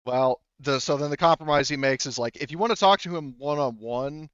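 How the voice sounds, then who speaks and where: A man running a tabletop roleplaying game, narrating casually in a halting, rambling way with some swearing. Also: a little high, fairly bright tone, smooth, no room sound, dull audio. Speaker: game master.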